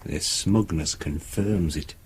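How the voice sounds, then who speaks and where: An actor with an English accent playing a laconic, wry police subordinate in a radio drama. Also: male voice, deep voice, takes it slowly, husky, full character voice.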